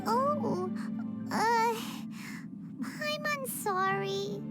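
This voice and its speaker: A woman voicing a tiny, childlike, excitable fairy companion in a video game, with a squeaky, exclamatory delivery. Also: drawn-out words, full character voice. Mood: sympathetic. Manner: exclamatory, refers to self in third person. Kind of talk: video game character acting. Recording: loud background music over dialogue.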